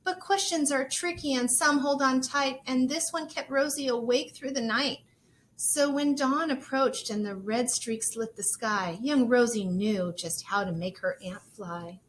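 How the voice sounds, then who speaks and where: A woman teacher reading a children's storybook aloud expressively, in measured, sing-song rhyme. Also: sits high, slight background noise, rough recording.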